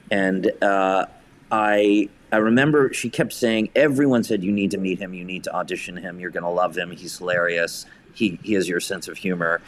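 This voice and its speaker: A man speaking as an interview guest, telling casual anecdotes in an enthusiastic, effusive way. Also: low voice. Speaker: podcast guest, theatre director.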